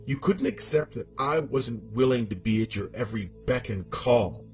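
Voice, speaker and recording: A man's voice: medium pitch, lively intonation, normal speed, darkish tone, soft background music, close to an everyday voice. A man voicing a scorned, vengeful demonic ex-wife in a horror monologue, haughty, bitter and theatrical. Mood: scornful.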